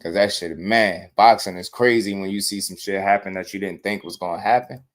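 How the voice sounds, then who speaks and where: A man hosting a casual boxing talk show, animated and slangy, breaking into laughs as he riffs on fights. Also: low voice, brisk. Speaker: boxing podcast host.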